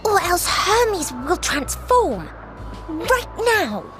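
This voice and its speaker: A woman voicing a small, earnest, girlish companion in a video game, drawn-out and imploring. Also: extremely high pitch, very animated, heavily acted. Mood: pleading, urgent. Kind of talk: video game cutscene acting. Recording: music behind the voice.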